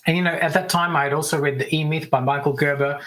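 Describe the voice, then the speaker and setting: A middle-aged man with an Australian accent, a business coach chatting as a guest over a remote call, upbeat with quick asides. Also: a little high, fairly even intonation, a bit bright. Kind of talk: casual interview storytelling.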